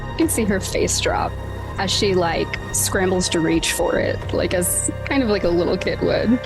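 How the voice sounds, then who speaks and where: A woman's voice: lowish voice, moderate pace, over music, low-quality recording. A woman playing a tabletop roleplay, improvising narration in a casual, hesitant way as she thinks aloud. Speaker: player in a tabletop roleplay show.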